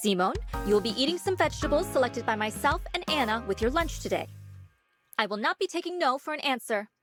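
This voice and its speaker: A woman acts out a well-bred, prim schoolgirl for a visual novel. Her voice sits high and her wording is formal and courteous. Music plays clearly behind her. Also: expressive, fast talker. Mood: stern. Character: polite, formal, prim refined rich schoolgirl. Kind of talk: visual novel dub acting.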